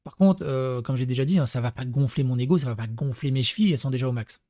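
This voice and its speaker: A man's voice: mid-range voice, fast, mellow, dry recording, studio quality. A young man from Paris, telling casual anecdotes to his channel with many fillers and restarts. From Paris, France. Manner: rambling, lots of fillers and restarts, laughs at own stories. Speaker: YouTuber. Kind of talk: casual storytelling to camera.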